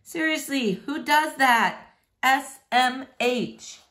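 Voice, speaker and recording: A woman reading a novel in verse aloud in an expressive storytelling style. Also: high voice, slow pace. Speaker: read-aloud reader.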